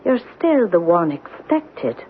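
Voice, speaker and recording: Noisy old radio broadcast, eerie old Irish landlady, brusque, lilting, swooping pitch. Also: big pitch swings, slow, phone-quality sound. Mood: eerily certain. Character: tiny old Irish landlady, sharp, eerie, hears voices. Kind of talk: old-time radio drama acting.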